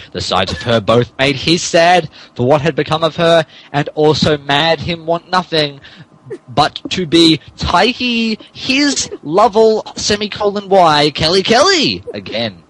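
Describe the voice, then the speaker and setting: Animated man reading fan fiction aloud. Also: a little high, a little slow, low-quality recording. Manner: mock-serious, stumbles over words and breaks up. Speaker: podcast host reading as narrator.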